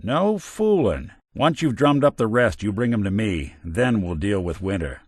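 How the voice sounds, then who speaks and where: An actor voicing a weary, wry android detective for a video game, in a hard-boiled noir manner with old-fashioned slang and dry sarcasm. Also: male voice, lowish voice, very animated, normal speed, breathy edge, no echo. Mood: grim, determined. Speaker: companion character in a video game.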